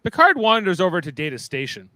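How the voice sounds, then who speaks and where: A man's voice: high-pitched, wildly swooping pitch, quick pace, very noisy. A man narrating stage directions in a playful, dramatic way for a live fan script reading.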